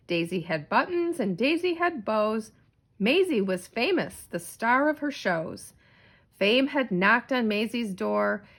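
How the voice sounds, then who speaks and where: Animated, unhurried woman reading a rhyming storybook. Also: mid-range voice. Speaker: storybook reader. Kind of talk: expressive read-aloud of rhyming children's story.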